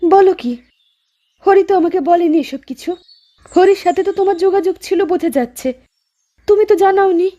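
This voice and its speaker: A woman's voice: very high voice, normal speed, long pauses, dark tone. A woman playing a polite, anxious widowed mother from the city in a cartoon, speaking earnestly. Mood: surprised. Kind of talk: Bengali horror cartoon dubbing.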